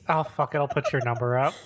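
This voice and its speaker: A woman's voice: rumbling low, moderate pace. A young woman hosting a casual podcast, teasing her guest, laughing along and reading out listener questions. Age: young adult. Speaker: podcast host.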